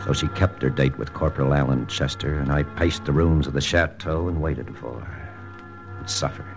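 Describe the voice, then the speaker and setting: Brooding spy lieutenant narrating, super deep gravelly voice, old radio play. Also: male voice, fairly even intonation, normal speed, over music. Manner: terse, brooding, clipped. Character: hard-boiled American spy officer narrating. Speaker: narrator and lead character. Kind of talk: 1940s radio acting.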